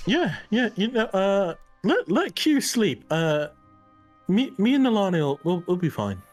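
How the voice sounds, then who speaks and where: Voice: mid-range voice, very animated, darkish tone, faint music behind. A player voicing a hesitant young male companion who stammers and halts often. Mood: flustered. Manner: stammering, halting.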